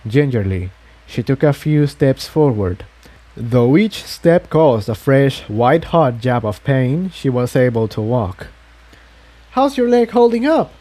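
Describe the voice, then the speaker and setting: A man's voice: mid-range voice, expressive, measured pace, warm tone. A man reading an adventure story aloud as a scripted narration.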